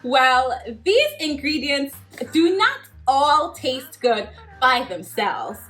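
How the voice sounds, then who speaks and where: A woman hosting a children's Bible lesson, cheerful and animated, laughing often as she talks to kids. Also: sits high, slow, faint music behind, rough recording. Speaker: children's story host.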